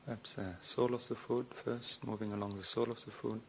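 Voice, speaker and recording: A man teaching a guided meditation slowly and hesitantly, pausing often between short phrases. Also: medium pitch, little pitch movement, a little hiss or hum. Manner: slow, hesitant delivery with long pauses. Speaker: meditation teacher, Buddhist monk.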